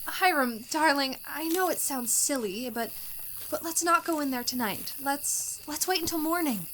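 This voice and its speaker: A woman's voice: high voice, lively intonation, normal speed, fairly bright tone, slight background noise. A woman playing a naive, romantic new bride in old-fashioned radio melodrama, genteel and given to dramatic hesitations. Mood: anxious, pleading.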